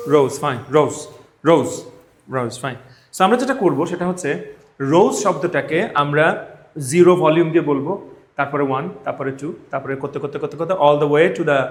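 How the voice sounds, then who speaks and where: A man with a Bangladeshi accent teaching a live class, emphatic, repeating phrases and checking that students follow. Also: a little high. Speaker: spoken English teacher. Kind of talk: live classroom instruction with drills. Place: classroom, indoors. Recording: echoey room.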